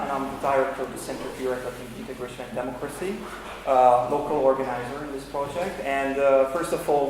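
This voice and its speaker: A man of middle pitch opens a panel event in a formal but hesitant way, filling gaps with frequent filler sounds. His voice carries through a roomy hall with a long reverb tail and a faint hum of noise. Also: a little mellow. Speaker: moderator, think-tank director. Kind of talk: opening remarks at a panel event.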